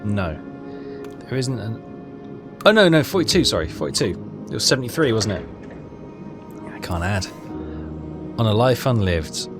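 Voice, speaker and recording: A man with a fairly low voice talks through a game walkthrough. He reads text aloud and thinks as he goes, in a halting, casual way. Music plays clearly behind him. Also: big pitch swings. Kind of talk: casual let's play commentary, reading game text aloud.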